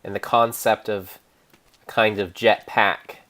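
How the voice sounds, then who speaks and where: A man narrating an improvised story in a theatrical, measured way, pausing between phrases. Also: lowish voice, level tone, a bit bright. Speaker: streamer, narrator.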